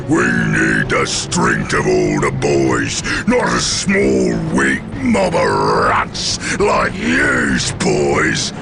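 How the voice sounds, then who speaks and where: An actor playing a huge, brutish orc warboss in a video game cutscene, boastful and aggressive, with a guttural growl and crude barked grammar. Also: male voice, a bass voice, gravelly, full character voice. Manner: crude broken-grammar barking. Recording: loud music behind the voice. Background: loud music.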